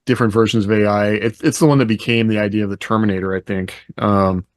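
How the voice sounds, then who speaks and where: A man's voice: lowish voice, fairly even intonation, fast talker, dry recording, studio quality. A man co-hosting a podcast, talking informally and thinking aloud in a casual panel discussion. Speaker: podcast co-host.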